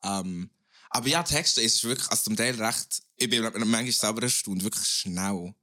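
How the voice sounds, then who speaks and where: Bright-voiced young rapper man. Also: lowish voice, normal speed, no echo, studio quality. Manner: relaxed, rambling with restarts. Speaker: guest rapper. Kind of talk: casual podcast chat.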